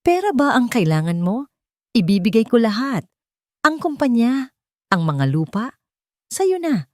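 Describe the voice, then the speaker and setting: A woman's voice: on the high side, big pitch swings, measured pace, darkish tone, dry recording, clean studio sound, voice pushed up. A woman voicing an anguished wealthy father in an audio story, speaking urgently. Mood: pleading. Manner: urgent, trembling commands. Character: anguished wealthy father pleading for his loved ones.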